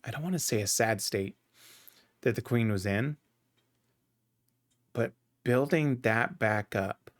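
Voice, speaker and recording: A man hosting a music reaction video, talking through what he hears in a relaxed, thinking-aloud way with frequent restarts. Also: medium pitch, takes it slowly, pauses often. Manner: thoughtful, restarts often, says "right?". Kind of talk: casual reaction commentary.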